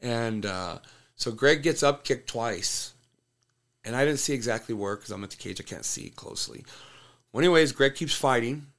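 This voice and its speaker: A man's voice: medium pitch, expressive, normal speed, fairly bright tone. A man who coaches fighters, telling a fight story bluntly in casual conversation, swearing freely. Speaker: MMA coach and fighter, podcast guest.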